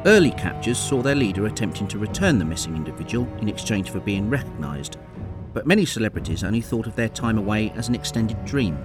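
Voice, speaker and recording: A man acting as narrator for an adventure audio play, with a low voice that moves expressively through each sentence. Music plays clearly behind the narration. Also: sing-song, fast talker. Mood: measured storytelling. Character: storytelling narrator of a time-travel adventure. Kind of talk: scripted audio drama narration.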